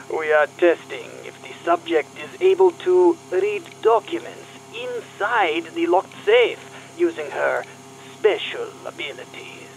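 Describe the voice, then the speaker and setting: An actor playing a deliberate Russian KGB scientist with a Russian accent in a Cold War radio play. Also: male voice, on the high side, expressive, creaky, slight background noise, heavily acted, rough recording. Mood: pleased, sinister.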